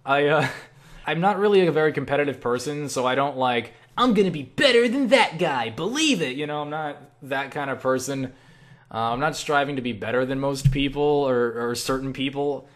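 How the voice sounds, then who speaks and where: A young man answering viewer questions in a casual, rambling, self-deprecating way, as an online creator. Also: mid-range voice. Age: young adult. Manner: self-deprecating, animated asides with acted-out quotes.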